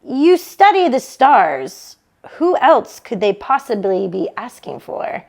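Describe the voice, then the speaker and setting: Woman voicing a brash, high-pitched, impatient sister. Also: big pitch swings, takes it slowly, gravelly, full character voice. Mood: emphatic.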